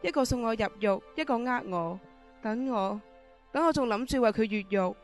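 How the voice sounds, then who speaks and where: A woman's voice: a little high, animated, moderate pace, lightly acted. A woman acting a scheming, desperate middle-aged prisoner in an amateur radio drama, commanding and manipulative. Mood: hurt, desperate. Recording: radio drama with music underneath.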